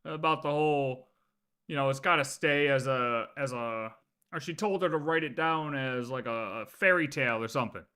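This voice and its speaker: A man's voice: mid-range voice, moderate pace, bright, forceful tone, smooth. A man giving casual, slangy reaction commentary as a game streamer. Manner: informal, slangy.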